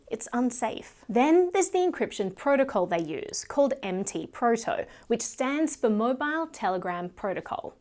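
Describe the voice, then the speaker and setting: Woman, very high voice, scripted explainer narration, emphatic and critical, orderly delivery. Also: a little mellow. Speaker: presenter of an explainer video.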